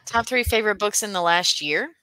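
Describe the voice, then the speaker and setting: A woman's voice: medium pitch, a little fast, bright, forceful tone, dry recording, poor audio. A woman chatting casually with a friend as a guest on a reading stream, playful and thinking aloud as she talks. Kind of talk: casual chat between friends.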